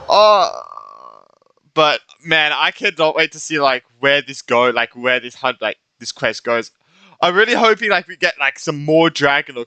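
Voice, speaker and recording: A young man giving casual live reactions to a game as a streamer, excitable, rambling and prone to swearing. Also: a little high, clear, resonant tone, no room sound. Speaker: gaming streamer.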